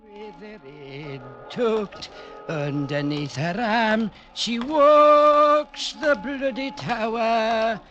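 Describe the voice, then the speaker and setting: A man's voice: sits high, drawn-out words, dull audio, over music. An actor playing a prim, deferential male butler in a 1950s radio drama, with a noisy old radio recording. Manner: polite, deferential.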